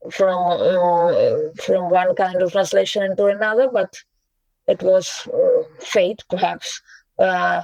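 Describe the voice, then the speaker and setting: Slow, thoughtful Russian-born woman translator. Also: lowish voice, vocal fry, no room sound, highs cut off. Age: around 40. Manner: thoughtful, hesitant, many pauses and fillers, soft laughs. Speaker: poet and translator, interview guest. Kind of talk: reflective interview answers.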